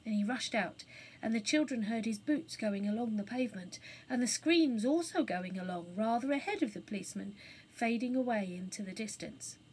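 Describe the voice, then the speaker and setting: A woman reading a children's story aloud as an expressive storybook narrator. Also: on the high side, normal speed, slight background noise, poor audio.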